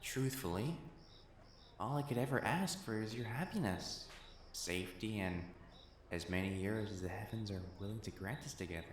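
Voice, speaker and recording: A man's voice: lowish voice, normal speed, a little hiss or hum, lightly acted. A man playing a prim young matchmaker fiancé in a romantic audio roleplay, formal and earnest in speech, easily flustered. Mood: gentle, wry. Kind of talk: intimate scripted romance roleplay acting.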